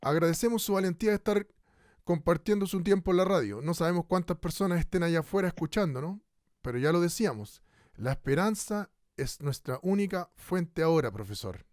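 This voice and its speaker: A man's voice: high voice, expressive, brisk, a little mellow, no room sound. A man with a Chilean accent playing an earnest, formal radio host in a studio radio drama set in a bleak future. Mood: solemn. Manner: solemn, formal, speaks of hope. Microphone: studio microphone.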